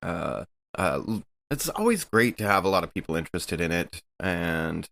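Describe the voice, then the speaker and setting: A man's voice: sits low, very animated, moderate pace, creaky, a bit bright. A man who is a podcast cast member, chatting casually. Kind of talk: casual chat.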